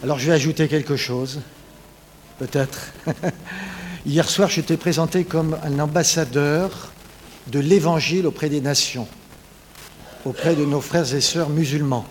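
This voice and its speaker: A man's voice: a little high, fairly even intonation, measured pace, pauses often. Man introducing a guest, light noise. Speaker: church leader introducing a speaker. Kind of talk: friendly introduction.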